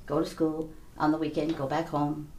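Woman, very low voice, casual storytelling, halting conversational delivery, roomy echo. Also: flat delivery, a little mellow. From Hawaii and San Mateo County, California. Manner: conversational, halting, repeats "you know". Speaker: interview guest. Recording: roomy, echoey space.

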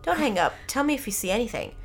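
Excitable young female friend, read by a woman. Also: fairly high pitch, fast, breathy edge, soft background music, pitched above the performer's own voice, exaggerated voice. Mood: supportive, eager. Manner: excitable, urgent. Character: Trisha, eager supportive young female college friend.

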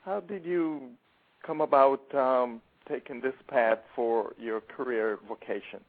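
A man's voice: medium pitch, unhurried. A man co-hosting a show, putting questions to a guest in a friendly, conversational way. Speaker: co-host interviewing a guest. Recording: thin phone-like line.

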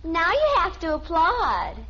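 A woman playing an earnest, prim nine-year-old American girl in a 1950s radio sitcom, speaking with deliberate care. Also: very high voice, very animated, pushed and bright, exaggerated voice. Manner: deliberate schoolroom recital. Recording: muffled, slightly noisy vintage radio recording.

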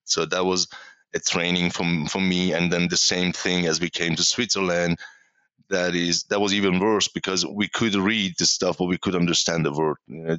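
A man in his forties from Iran with a Persian accent, talking casually and haltingly as a podcast guest, often repeating words. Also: sits low, fairly bright tone, no echo, muffled recording. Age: 40s. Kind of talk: casual conversational interview.

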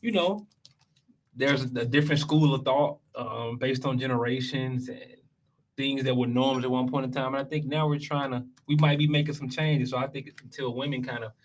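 A man's voice: mid-range voice, steady tone, normal speed, poor audio. A man appearing as a show guest, chatting casually in slangy, hedging phrases with frequent short interjections. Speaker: show guest, writer and creator. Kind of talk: casual chat.

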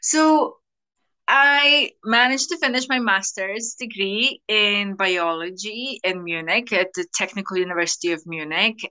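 A woman's voice: fairly low pitch, pushed and bright. A woman in her thirties from Slovenia, a native Slovenian speaker, chatting enthusiastically as an interview guest. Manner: enthusiastic, chatty, laughs often. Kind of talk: interview answers.

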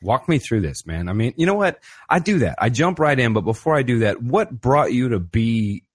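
A man's voice: mid-range voice, big pitch swings, moderate pace, husky. A man hosting a podcast in a laid-back, slangy way, often calling his guest "man" or "brother". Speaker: podcast host. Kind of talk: casual interview conversation.

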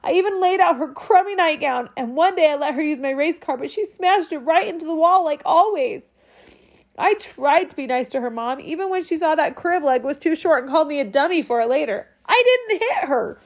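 A woman's voice: high-pitched, lively intonation, fairly quick, pitched above the performer's own voice. Woman doing a crying young boy. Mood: sobbing. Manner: sniffling, choked, pleading. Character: young boy, tearful and upset.

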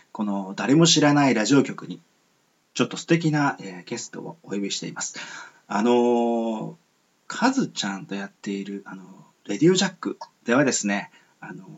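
Lively-pitched man hosting on dull lo-fi radio audio. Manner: hesitant, searching for words, politely earnest. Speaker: radio host. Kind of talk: rambling reflective monologue for an amateur radio show.

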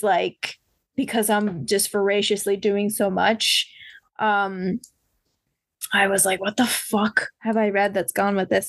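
A hoarse woman co-hosting a podcast, rambling in a casual chat with lots of fillers. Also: medium pitch, no echo. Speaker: podcast co-host.

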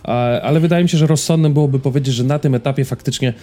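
A man's voice: mid-range voice, fast talker, dark tone. A man chatting as a podcast co-host, wordy and wryly playful, often correcting himself as he goes. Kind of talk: casual chat about games and series.

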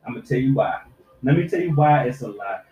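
A man's voice: mid-range voice, animated, fast, darkish tone, faint music behind. A man in his forties, a podcast co-host, lecturing younger people with profane life advice in casual chat. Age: 40s. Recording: roomy, dull lo-fi sound.

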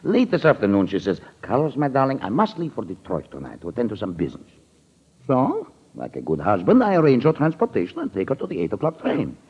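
An evasive, guilty younger husband in a 1940s radio drama. His politeness is thin and nervy, with wildly swinging pitch and a slightly rough tone, on a dull vintage recording. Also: huge pitch swings, quick pace, full character voice. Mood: feigned ease. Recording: muffled old-time radio recording.

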